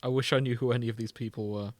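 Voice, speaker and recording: A man with a mid-pitched voice co-hosts a casual comedy podcast. He banters with mock indignation, and the sound is dry, with very little room in it. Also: fairly even intonation, racing, a little mellow, no echo. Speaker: podcast co-host. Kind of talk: casual comedic chat, reading out fan fiction tags.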